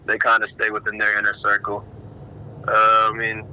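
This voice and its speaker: A man speaks hesitantly in a casual discussion, stumbling and restarting often. His voice is bright and forceful, a little lower than most men's. The recording sounds dull and lo-fi, with a faint hiss underneath. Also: completely flat delivery, normal speed. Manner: hesitant, many restarts and fillers. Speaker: discussion participant.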